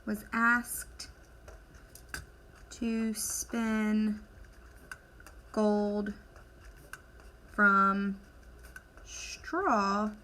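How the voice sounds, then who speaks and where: A woman teacher leading an instructional lesson for children, asking questions, encouraging answers and dictating deliberately as she writes. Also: mid-range voice, fairly even intonation, pushed and bright, a little hiss or hum. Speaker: elementary school teacher.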